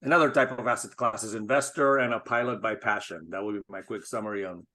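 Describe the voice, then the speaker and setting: A middle-aged man from Spain, a guest in an interview, talking in a measured, thoughtful way with frequent restarts. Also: lowish voice, sharp tone. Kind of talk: casual interview talk.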